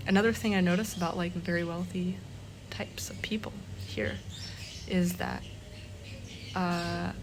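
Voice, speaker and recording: A woman talking casually to her vlog audience in a reflective, halting way, often pausing between short phrases. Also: on the low side, steady tone. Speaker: vlogger. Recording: clearly noisy background ambience.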